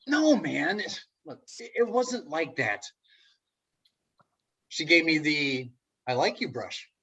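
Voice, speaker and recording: An actor in a play table read over video call, playing an awkward, lovelorn man who is hesitant, stammering and easily indignant, with a husky voice. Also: on the high side, lively intonation, slow, sharp tone, lo-fi sound, full character voice. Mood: awkward, wistful. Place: remote video call reading.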